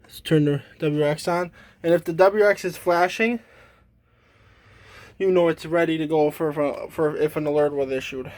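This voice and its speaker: A man giving a casual, unscripted demonstration as a hobbyist, halting and trailing off mid-thought. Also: a little high, fairly even intonation, darkish tone, dry recording. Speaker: hobbyist demonstrating a weather radio collection.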